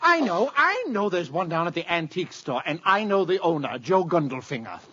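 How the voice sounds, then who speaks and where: A man's voice: sits high, very animated, fairly quick, pushed and bright, full character voice. An actor playing a jovial, boastful, drily joking neighbor and father in a 1940s radio comedy. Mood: cheerful. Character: jolly, chatty neighbor, harried father of many. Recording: muffled, hissy old radio show.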